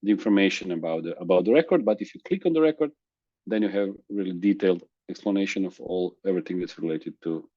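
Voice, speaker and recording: A man whose first language is Croatian, presenting a software demo in a webinar, halting with restarts and fillers over a video call. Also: low voice, no room sound, dull audio. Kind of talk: screen-share software demo.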